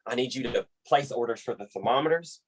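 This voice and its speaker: A man's voice: mid-range voice, expressive, fast talker, husky. Man playing a folksy, rambling, upbeat American boss. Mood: effusive. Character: folksy, upbeat, rambling male boss running a conference call. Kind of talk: comedy web series acting.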